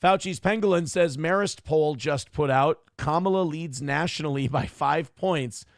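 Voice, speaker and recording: A man hosts his own livestream in a casual, profane, off-the-cuff way, reading viewer messages aloud. His voice sits a little high, with a gravelly edge, and swings widely up and down. The sound is dry and close. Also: normal speed, no echo. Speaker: streamer and show host.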